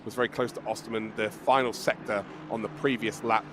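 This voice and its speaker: A man commentating a live sim race with a croaky, strained voice, excitable and joking. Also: on the low side, a little fast, background noise. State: hoarse, losing his voice.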